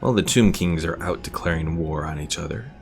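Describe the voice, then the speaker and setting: A male gaming streamer with a deep voice full of creak. He talks casually through his play and reads viewers' messages aloud, with game music behind him. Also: a little fast. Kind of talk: casual live game commentary, reading out chat.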